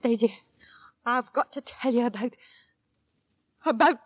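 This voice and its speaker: A woman's voice: on the high side, a little slow, slightly rough, no room sound, dull audio. A woman playing an anxious, deferential middle-aged landlady and mother in a 1940s radio drama, nervous, hesitant and placating. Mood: troubled, faltering. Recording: vintage 1940s radio broadcast.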